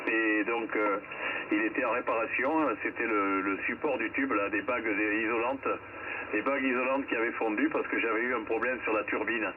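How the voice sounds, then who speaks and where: A man talks casually over amateur radio in a lowish voice. His delivery is conversational, with some hesitation. The sound is narrow and phone-like, with clear hiss and static around it. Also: steady tone, normal speed. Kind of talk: casual ham radio contact.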